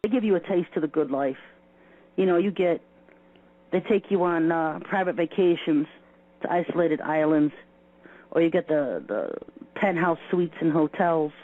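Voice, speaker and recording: Casting: male exposé talker, fairly high voice, emphatic short phrases, thin humming lo-fi recording. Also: moderate pace, long pauses, soft background music. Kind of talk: informal spoken exposé. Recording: dull lo-fi audio with hum.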